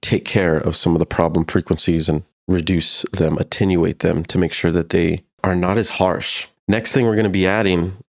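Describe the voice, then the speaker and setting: A man with a deep, low-set voice narrates a music production tutorial. He explains each step informally, in a casual teaching style. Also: fairly even intonation, moderate pace, creaky, hardly any pauses.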